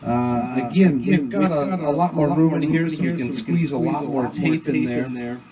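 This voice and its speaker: A man giving a casual hands-on product demo, explaining step by step in a plainspoken, unhurried way. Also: fairly high pitch, a loud crowd, rough recording. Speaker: vintage gear seller and demonstrator.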